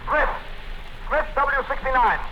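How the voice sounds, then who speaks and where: Shouting prison guard. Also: male voice, very high-pitched, a little slow, over loud music. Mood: commanding. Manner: shouting orders. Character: barking male prison guard, harsh official. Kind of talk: 1950s radio drama acting. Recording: dull lo-fi 1950s radio broadcast.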